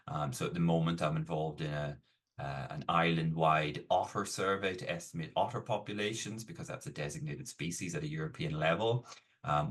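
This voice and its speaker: A middle-aged man with a Northern Irish accent, explaining his research at a measured pace as an interview guest. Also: sits low, a bit bright. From Northern Ireland. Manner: measured, explanatory, many fillers. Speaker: guest academic. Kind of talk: podcast interview.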